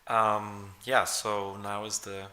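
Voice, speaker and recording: A man's voice: fairly low pitch, level tone, slow pace, sharp tone. A man moderating a live literary panel, speaking casually with hesitant fillers. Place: literary event with audience.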